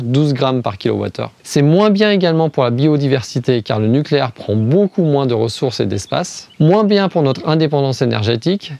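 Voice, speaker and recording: A man's voice: on the high side, darkish tone, dry recording. A man from France hosting a scripted explainer video, arguing step by step with rhetorical questions and ironic asides. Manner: poses questions then answers them. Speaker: video host.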